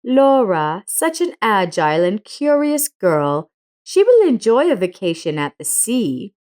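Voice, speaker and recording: A woman's voice: medium pitch, big pitch swings, a little slow, a little mellow. Woman doing a concerned nanny in a story, caring and a little scolding.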